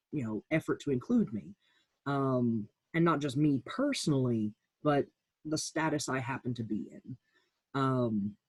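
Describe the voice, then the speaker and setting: Male panelist, husky voice, candid and halting personal testimony, dry recording. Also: mid-range voice, a little mellow, dry recording. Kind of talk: candid personal account in a roundtable.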